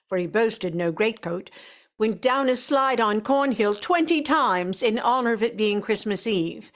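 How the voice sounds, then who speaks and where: A woman's voice: on the high side, close to an everyday voice. A woman narrator telling a story in scripted style for an old-time radio drama.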